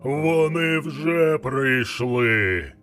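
A man playing a menacing, all-knowing dark sorcerer in a dream, with a dry, hollow voice and a taunting delivery. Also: medium pitch, animated, faint music behind. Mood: triumphant, taunting. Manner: very slow, drawn-out taunting delivery.

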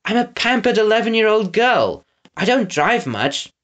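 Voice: medium pitch, sing-song, moderate pace, fairly bright tone, dull audio, pitched above the performer's own voice. A reader voicing a plucky, well-bred eleven-year-old girl, prim and self-assured, in a storybook reading. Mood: flustered.

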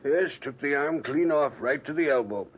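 A man's voice: a little high, normal speed, husky. An actor playing a chatty older male visitor who rambles through superstitious stories in an old radio drama. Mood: storytelling. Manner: rambling, chuckling storyteller. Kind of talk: 1940s radio acting. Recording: dull lo-fi old radio recording.

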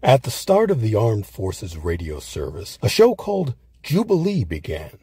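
A man's voice: fairly low pitch, wildly swooping pitch, normal speed, mellow, husky, faint music behind. A man hosting a history series, reading a scripted documentary narration.

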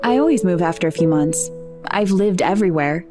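A woman's voice: fairly low pitch, expressive, brisk, loud music behind the voice, natural voice. A woman playing an anxious, lonely seventeen-year-old girl in a naturalistic audio drama, speaking hesitantly and self-deprecatingly. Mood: wistful, resigned.

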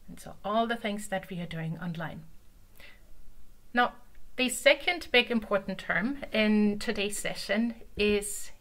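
A woman with a German accent, German being her first language, giving an online conference talk. She speaks in a mid-range voice, conversational and unhurried, with frequent ums between phrases. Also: medium pitch, a bit bright. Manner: conversational, many filler ums. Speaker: conference presenter. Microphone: video call.

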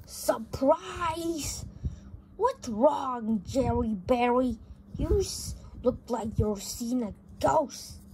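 An amateur reader voicing an excitable, teasing male mouse cousin from a comic, in a noisy home recording. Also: sits high, very slow, full character voice. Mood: excited, teasing. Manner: eager, pushy, teasing.

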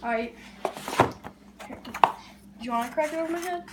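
A woman's voice: high-pitched, moderate pace. A woman chatting casually as a vlog host, with slangy, muttered asides. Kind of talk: casual challenge vlog chat. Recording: very noisy home video sound.

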